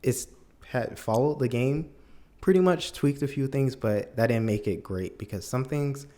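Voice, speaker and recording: A man's voice: medium pitch, measured pace, warm tone. A man giving a casual, opinionated film review as a video commentator, with an emphatic, incredulous, conversational manner.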